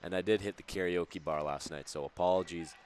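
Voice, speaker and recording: A man calling a youth hockey game play-by-play, his voice a little hoarse and raspy, with brisk, chatty calls that rise sharply on chances and goals, plus joking asides. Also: low-pitched, a little hiss or hum. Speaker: play-by-play commentator. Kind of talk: live hockey play-by-play commentary.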